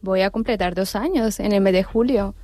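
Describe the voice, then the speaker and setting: Woman, 30s, Cali Colombian accent, nervous, earnest, halting speech, dry close sound. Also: mid-range voice, warm tone, no echo. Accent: Colombian Spanish, Cali. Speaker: radio guest.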